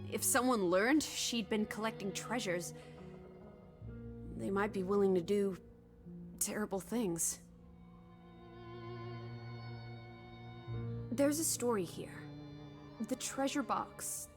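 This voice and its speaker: An actress playing a spirited, inquisitive, imaginative young female companion in a video game, expressive, playful and probing. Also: mid-range voice, takes it slowly, long pauses, music in the background, natural voice. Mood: earnest. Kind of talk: scripted game dialogue.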